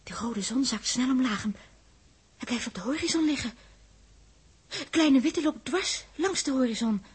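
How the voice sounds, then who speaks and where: A breathy, high-voiced woman space traveller. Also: animated, normal speed, pauses often, exaggerated voice. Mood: entranced, wistful. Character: young female space traveller, wistful, seeing distant visions. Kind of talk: 1960s Dutch radio drama acting.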